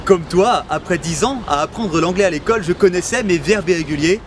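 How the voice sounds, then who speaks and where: A man's voice: high voice, fairly quick, background noise. A man hosting a parody language lesson in a mock-earnest, cheeky manner. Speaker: comedic language teacher host.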